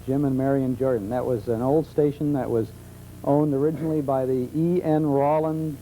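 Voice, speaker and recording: An American man with a very mellow voice and middling pitch. He chats enthusiastically as an expert guest in a television studio, recounting details in anecdotes, with a faint hum behind. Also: steady tone, moderate pace, rough recording. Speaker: guest expert on old-time radio. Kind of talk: talk show conversation, enthusiastic anecdotes.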